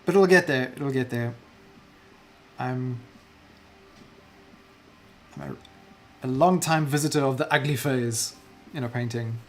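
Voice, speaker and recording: A man's voice: mid-range voice, measured pace, long pauses, faint music behind. A South African man chatting casually while livestreaming a digital painting, muttering self-deprecating remarks to himself as he works.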